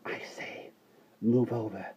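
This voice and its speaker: A man putting on a drowsy baby boy from a storybook, higher than the narration, quiet and slow. The recording is dull and lo-fi. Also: steady tone, in a whisper.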